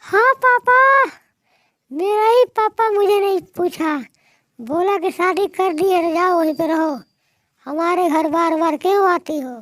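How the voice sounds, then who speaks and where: A woman's voice: very high voice, a little slow, dry recording. A woman voicing a headstrong young married daughter in a moral-story cartoon, pleading and scolding in plain words. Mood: aggrieved.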